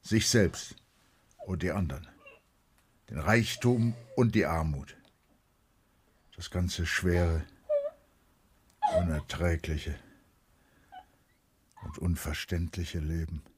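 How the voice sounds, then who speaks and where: A man narrating a literary radio play in a grave, emphatic manner with weighted pauses. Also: deep voice, background noise.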